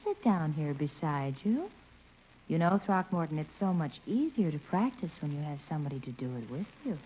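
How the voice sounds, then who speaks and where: A woman's voice: deep voice, very animated, a little fast. An American actress playing a flirtatious, eager lady friend in a 1950s radio comedy, with a coy, coaxing manner. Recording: muffled, hissy old radio recording.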